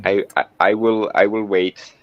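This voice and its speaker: A man's voice: on the low side, fairly even intonation, measured pace, muffled recording. A man playing a tabletop roleplay game, chatting casually and hesitantly, restarting and repeating words. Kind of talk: casual chat.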